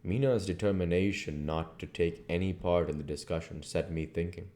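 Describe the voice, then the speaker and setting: A man reading a classic novel aloud as narrator, in a measured, plain way. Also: deep voice, dark tone. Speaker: audiobook reader and channel host.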